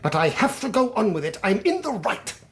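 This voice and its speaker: A man playing an irritable, scornful upper-class English country gentleman in a radio drama. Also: sits high, very animated, brisk, slight background noise, full character voice. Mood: irritated. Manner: scornful, condescending. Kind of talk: British radio drama acting.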